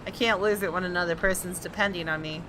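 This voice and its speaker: A woman's voice: on the low side, fairly even intonation, quick pace, noisy background. A woman hosting a gaming video, reading visual novel narration aloud with dramatic expression.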